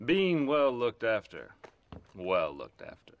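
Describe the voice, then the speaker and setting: An actor playing a falsely courteous government agent in a video game, polite, unctuous and evasive. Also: male voice, lowish voice, wildly swooping pitch, slow, vocal fry, highs cut off, heavily acted. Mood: oily politeness. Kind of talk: dramatic video game cutscene acting.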